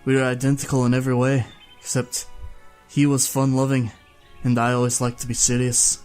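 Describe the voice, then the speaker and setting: A man's voice: medium pitch, completely flat delivery, a little fast, darkish tone, soft background music, voice pushed up, natural voice. A man voicing a serious young man who speaks formally and matter-of-factly, in a storybook reading. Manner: stiff, formal, matter-of-fact. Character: serious young man guarding his dead twin.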